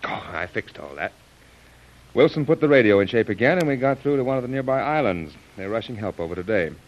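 An actor playing a concerned, commanding friend, earnest and emphatic in 1930s radio drama style. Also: male voice, medium pitch, sing-song, brisk, dull audio, close to an everyday voice. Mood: firm, insistent. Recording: hissy vintage radio recording.

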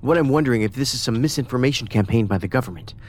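An actor playing a pedantic, socially awkward federal investigator in a science fiction audio drama, speaking precisely and formally with a detached, musing manner. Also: male voice, mid-range voice, very fast, darkish tone, close to an everyday voice. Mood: analytical, intent. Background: music under the voice.

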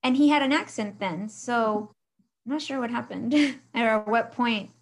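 A chatty woman from Mexico, chatting as an interview guest over a remote call. Her voice sits a little high, and she laughs often and lets her sentences trail off. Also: unhurried, slight background noise. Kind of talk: casual interview chat.

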